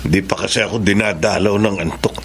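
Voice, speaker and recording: A Filipino man acting in a radio drama as a weary, guilt-ridden middle-aged father, speaking in a sorrowful, halting, heartfelt way. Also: sits low, a bit bright, a little hiss or hum. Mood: troubled, pitying.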